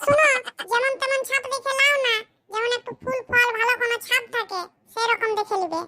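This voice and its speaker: A performer voicing a chatty, demanding newlywed village wife in a comedy cartoon, with a pitched-up voice. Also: brisk, bright, forceful tone, slight background noise. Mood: bossy, matter-of-fact.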